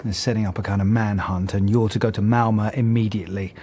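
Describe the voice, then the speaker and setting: An actor playing a restrained, thoughtful police detective, measured and understated, in a radio drama. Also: male voice, lowish voice, fairly even intonation, darkish tone, slight background noise, lightly acted. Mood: dry, thoughtful. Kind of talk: naturalistic radio drama dialogue.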